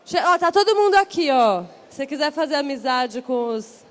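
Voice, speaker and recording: A woman's voice: high-pitched, expressive, moderate pace. A woman with a São Paulo accent hosting a panel on stage at a large event, chatty and upbeat as she rallies the audience. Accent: São Paulo Portuguese. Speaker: event host.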